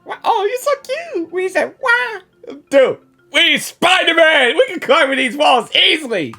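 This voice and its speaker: A woman doing casual, excitable game reaction commentary, slangy and playful. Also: extremely high pitch, unhurried, soft background music. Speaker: gaming reaction streamer.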